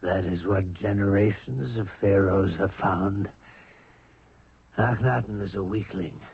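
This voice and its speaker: Villain for radio drama: cold palace plotter, deep-leaning raspy voice, deliberate and scornful, narrow vintage broadcast sound. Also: male voice, heavily acted. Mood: contemptuous, secretive. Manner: insinuating, deliberate, contemptuous. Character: cold, scheming royal courtier plotting against pharaoh. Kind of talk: 1970s radio drama acting. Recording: dry phone-like vintage radio recording.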